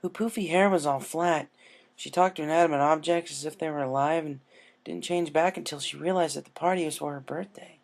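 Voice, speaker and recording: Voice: mid-range voice, fairly even intonation, fairly quick, gravelly, pitched above the performer's own voice, close to an everyday voice. A narrator voicing an earnest, subdued young female pegasus pony who talks casually and hesitantly, in a story reading. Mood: troubled.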